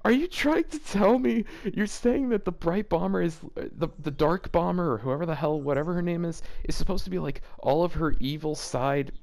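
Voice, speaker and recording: A man's voice: fairly high pitch, normal speed, creaky, few pauses, darkish tone. A man reading fan fiction aloud as a YouTuber, breaking off into casual, exasperated and baffled commentary.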